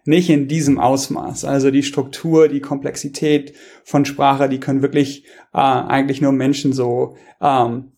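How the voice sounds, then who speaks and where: A man's voice: medium pitch, little pitch movement, a little slow, hardly any pauses, dark tone. A man, a researcher, talking casually as a podcast guest, hesitant, with many fillers and restarts. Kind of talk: casual interview talk.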